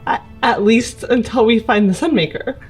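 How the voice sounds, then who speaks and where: A woman improvising in character in a tabletop game, firm and deliberate, sometimes halting. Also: fairly high pitch, husky. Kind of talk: improvised in-character role-play. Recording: music playing under the voice.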